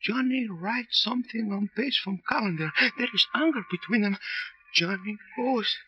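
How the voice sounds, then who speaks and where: An actor playing a frightened, humble Puerto Rican janitor in an old radio drama, speaking broken, stammering English with a Spanish accent. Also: male voice, sits high, moderate pace, lo-fi sound, a little hiss or hum, close to an everyday voice. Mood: tearful, reluctant. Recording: old radio recording, music behind.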